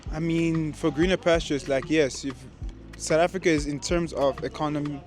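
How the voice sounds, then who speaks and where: A teenage boy from Nigeria answers an interview in a casual, slang-heavy, laid-back way. His voice sits a touch high for a man. Music plays clearly under him. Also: fairly even intonation, normal speed, darkish tone. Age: teens.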